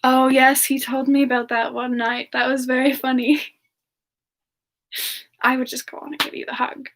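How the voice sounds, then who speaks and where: A woman voicing a gentle, polite female demon in a soft-spoken, hesitant semi-ASMR chat with viewers. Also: high voice, flat delivery, moderate pace. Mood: gentle, chatty. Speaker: streamer answering viewer questions in character.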